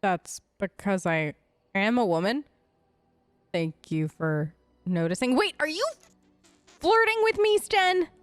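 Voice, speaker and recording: A woman's voice: mid-range voice, big pitch swings, slow pace, pauses often, no echo, soft background music. A woman doing playful, informal role-play commentary as she hosts a video game let's play, chatting in character. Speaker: gaming host.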